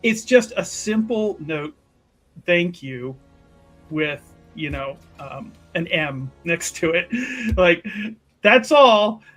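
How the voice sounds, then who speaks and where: Slow, halting man with a higher voice. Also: animated, a bit bright. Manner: halting, hesitant. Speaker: player in a tabletop roleplaying game. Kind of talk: casual unscripted character narration. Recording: faint music behind.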